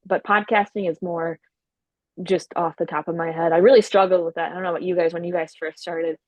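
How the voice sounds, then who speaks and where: A woman's voice: on the low side, fairly even intonation, fairly quick, a little mellow, no room sound. A woman comedian guesting on a podcast, talking casually with a stutter, repeating sounds and restarting words, and swearing freely. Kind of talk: casual chat.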